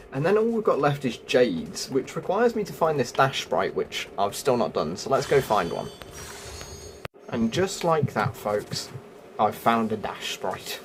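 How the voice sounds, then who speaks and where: A man's voice: mid-range voice, background noise. A man giving casual game walkthrough commentary as a video host, explaining things and thinking aloud.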